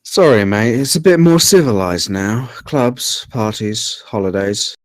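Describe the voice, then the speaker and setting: A man's voice: fairly low pitch, lively intonation, unhurried, dry recording. A man reading the part of a joking, laid-back workmate in a film script, wry and casual. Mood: amused. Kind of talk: film script table read, naturalistic dialogue.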